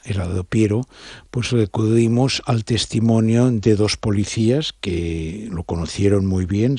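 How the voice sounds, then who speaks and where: A man's voice: lowish voice, warm tone, no echo, clean studio sound. A man from giving an unscripted interview as a film director, explaining discursively and searching for his words. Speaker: documentary director interviewed as guest.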